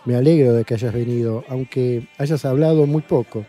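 A man's voice: mid-range voice, moderate pace, warm tone, soft background music, some chatter behind, natural voice. A man with an Argentine accent playing a hearty, hospitable friend hosting dinner in a radio play. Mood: concerned, friendly.

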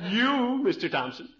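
An actor playing a pompous, fussy but good-natured middle-aged uncle in a 1950s radio comedy, blustery and chuckling. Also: male voice, very high-pitched, full character voice. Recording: muffled old radio broadcast.

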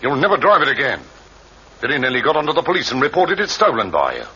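A man's voice: medium pitch, big pitch swings, fast, pushed and bright, slightly rough, heavily acted. An actor in an old-time radio drama playing an indignant, scornful middle-aged father who blusters. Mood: outraged. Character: outraged, scornful older father. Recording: muffled, noisy old radio recording.

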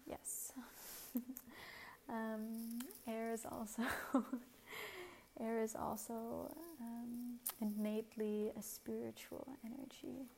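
A soft-spoken woman hosts a reflective spiritual talk, her mellow voice drifting into a gravelly fry at the ends of phrases. She talks gently and hesitantly, pausing with fillers. A faint hiss sits behind her. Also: on the high side, level tone. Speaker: spiritual podcast host.

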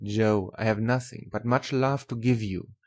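A woman's voice: very deep voice, a little slow, a little mellow, voice pushed down, heavily acted. A woman voicing a tender, earnest older German professor in love, with formal, emphatic speech in an audiobook. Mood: joyful, earnest. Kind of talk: audiobook character voice.

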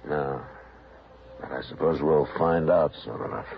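Very deep, calm marshal, 1950s radio play, muffled. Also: male voice, gravelly, exaggerated voice. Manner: calm, measured, dry. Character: weary, steady frontier lawman, grown man. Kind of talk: 1950s radio drama acting. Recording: muffled old radio broadcast, faint hiss.

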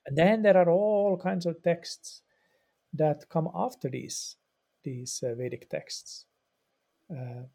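Gravelly, husky-voiced Finnish man explaining. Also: on the high side, slow pace, dark tone. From Finland. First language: Swedish. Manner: thoughtful and explanatory, with frequent repeats and fillers. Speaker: religious studies professor and yoga practitioner. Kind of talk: podcast interview answer.

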